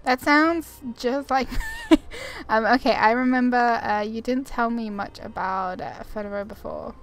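A young woman with a fairly high voice chats casually through a game playthrough. She rambles informally in a giggly way, with game music playing behind her. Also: very animated, a little slow, rough recording. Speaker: gaming video host.

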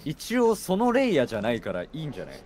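Very animated man bantering over a game. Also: on the high side, rapid-fire. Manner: reactive, offhand banter with a gaming partner. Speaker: video game streamer. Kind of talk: casual co-op gaming chat. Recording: game music behind the voice. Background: video game music.

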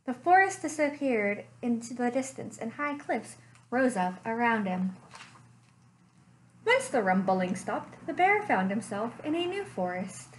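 A young woman, a librarian, reading a picture book aloud slowly and expressively at home into a webcam. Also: a little high, slight background noise, rough recording. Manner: slow, expressive storytime reading. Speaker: children's librarian. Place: living room at home.

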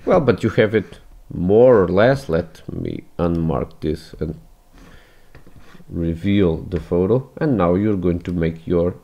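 A man whose first language is Portuguese walks through a photo edit in a screen-recorded tutorial, halting and restarting his phrases as he goes. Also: low-pitched, animated, dull audio. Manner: hesitant, many restarts, non-native phrasing.

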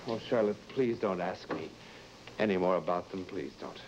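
An actor playing an anxious, secretive married lawyer in a vintage broadcast drama, speaking in a halting, pleading, evasive way. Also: male voice, sits low, lightly acted. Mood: strained, pleading. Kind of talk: vintage mid-century broadcast drama acting. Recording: dull, noisy vintage broadcast recording.

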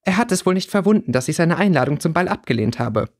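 A man's voice: a little high, big pitch swings, quick pace, pitched above the performer's own voice. A man voicing a haughty, coldly scornful teenage girl in a fantasy audiobook. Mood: disdainful. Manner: disdainful, cool.

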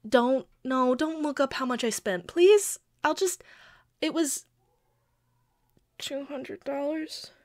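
A woman playing a quirky, sheepish young girlfriend who pleads and defends herself hesitantly in an intimate audio roleplay. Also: high-pitched. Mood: nervous, pleading. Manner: hesitant, pleading, halting with pauses. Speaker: amateur audio roleplay voice actor.

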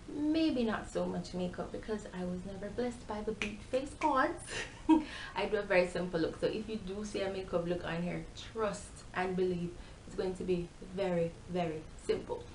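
A young Jamaican woman talks casually to camera in a chatty, animated way. Her voice sits at a middle pitch and carries a Jamaican accent. It was recorded in a bare, echoey room with a little background noise. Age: young adult. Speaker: YouTube vlogger. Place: small bare-walled room indoors.

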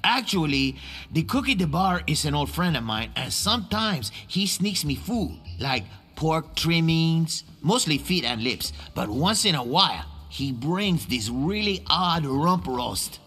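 A man's voice: on the high side, big pitch swings, unhurried, a bit bright, slightly rough, soft background music, heavily acted. A man playing a wretched, pleading prisoner in a cartoonish video game, whiny and theatrical. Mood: chatty, rueful. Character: pleading, foul-breathed, wretched male jailbird.

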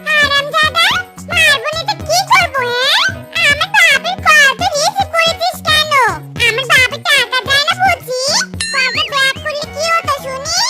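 A performer voicing a hot-tempered village wife in a children's cartoon, shrill and sharp-tongued. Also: normal speed, echoey room. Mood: furious. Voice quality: shrill, very high-pitched. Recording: distant voice under loud music.

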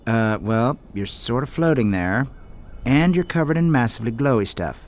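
A man voicing a boyish, excitable, always hungry panda kung fu hero in a video game, goofy and animated. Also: mid-range voice, normal speed, lightly acted. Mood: amazed.

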